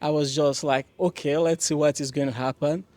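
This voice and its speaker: Soft-spoken young man from Mali. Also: a little high, steady tone, fairly quick, dry recording. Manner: hesitant, many fillers and restarts, warm laughs. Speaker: panelist. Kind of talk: panel discussion, personal account.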